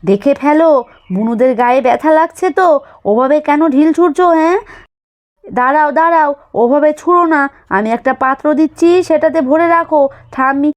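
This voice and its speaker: A woman's voice: high-pitched, brisk, lightly acted. A woman voicing an anxious, caring young village mother in a children's doll-story cartoon, nagging with repeated instructions. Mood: scolding.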